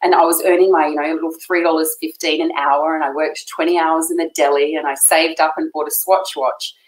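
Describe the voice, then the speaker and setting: A middle-aged Australian woman talking warmly and effusively as a guest on a video call. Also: deep voice, little pitch movement, a little fast. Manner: warm, effusive, laughs often. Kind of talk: casual chat. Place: home room. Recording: echoey room on video call.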